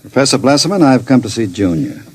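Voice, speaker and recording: An actor playing a suave, coolly polite, mocking gentleman criminal in a radio drama. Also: medium pitch, sing-song, a little fast, breathy edge. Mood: coolly threatening. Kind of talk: 1950s radio acting.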